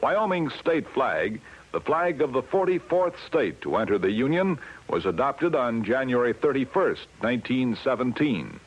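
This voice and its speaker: Husky American male radio announcer, dull recording. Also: mid-range voice, very animated, moderate pace. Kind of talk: 1950s radio announcing, scripted narration.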